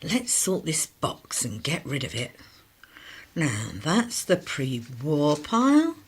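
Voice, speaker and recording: An actress playing a weary, sarcastic 1950s British working-class wife in a radio drama, with a clipped, irritable manner. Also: female voice, sits low, sing-song, slow, heavily acted.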